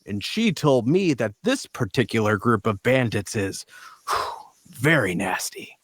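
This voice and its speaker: Man playing a charming, animated fantasy adventurer leader. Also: medium pitch, a little slow, dry recording. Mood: coaxing. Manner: flattering, theatrically polite, persuasive. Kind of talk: audio drama table read.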